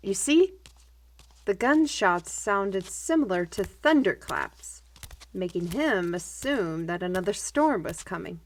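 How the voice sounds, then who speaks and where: Woman playing young fantasy squire, learned, brisk, animated, explanatory, audio drama. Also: medium pitch. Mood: reassuring, excited. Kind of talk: audio roleplay acting.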